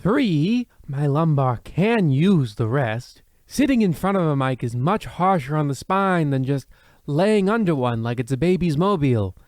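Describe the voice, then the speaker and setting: A man's voice: fairly high pitch, big pitch swings, a little slow, darkish tone, no echo, studio quality. A man playing a pompous, rambling, self-admiring host of an old-time radio mystery play, with theatrical delivery. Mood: self-satisfied, whimsical.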